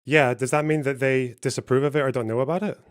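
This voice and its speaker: A man's voice: mid-range voice, steady tone, quick pace. A man hosting a heated online debate from his stream, combative and pressing, often cutting in to demand answers.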